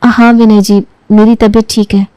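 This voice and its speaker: A woman plays a young bride in a moral tale, her voice fairly high. She is dutiful and polite, deferring to her elders, in a dry recording. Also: little pitch movement, normal speed, dark tone, clean tone, no room sound, lightly acted. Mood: gentle. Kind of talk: acted dialogue in a Hindi family storybook tale.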